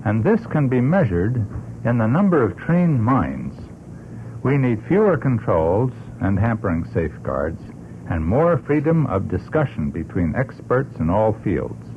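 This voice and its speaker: A man on a radio panel formally and deliberately reading a prepared statement aloud, on an old broadcast. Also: lowish voice. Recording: narrow tinny vintage radio, faint noise.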